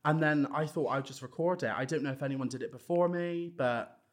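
A man's voice: a little high, a little fast. A man guest on a podcast, telling in easygoing casual chat how his videos took off. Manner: easygoing storyteller, calls people love. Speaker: guest, content creator.

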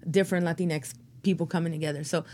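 A woman with a somewhat low voice speaks as a guest on an interview podcast. She is an actor and playwright who talks in a rambling, reflective way. Her speech is full of fillers. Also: steady tone, quick pace, darkish tone. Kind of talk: interview conversation.

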